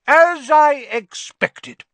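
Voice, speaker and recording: Shrill, overbearing tipsy aunt. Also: male voice, sing-song, unhurried, a bit bright, dry recording, heavily acted. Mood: tipsy contempt. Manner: pompous, sneering, emphatic. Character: loud, overbearing, tipsy, spiteful middle-aged aunt. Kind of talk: audiobook character voice.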